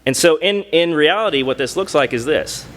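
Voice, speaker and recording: A man presenting his hackathon team's project on a conference stage, halting and full of fillers. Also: a little high, lively intonation, noisy background. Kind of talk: live pitch presentation. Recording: long reverb from a hall.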